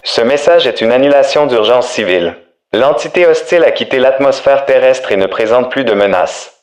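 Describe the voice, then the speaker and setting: A man's voice: mid-range voice, lively intonation, brisk. A man reading a scripted emergency alert as an announcer, with an echoey sound.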